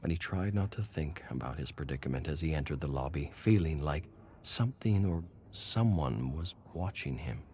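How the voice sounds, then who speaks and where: A man narrating a scripted horror story in a measured, ominous and deliberate manner. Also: rumbling low, lively intonation, darkish tone, a little hiss or hum.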